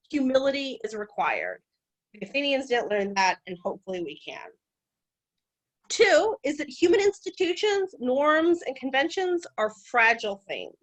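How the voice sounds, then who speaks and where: Woman, lecturer, conversational, animated, wide pitch. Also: low-quality recording. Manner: animated, conversational, occasional restarts. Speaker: guest lecturer. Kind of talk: public talk on ancient history.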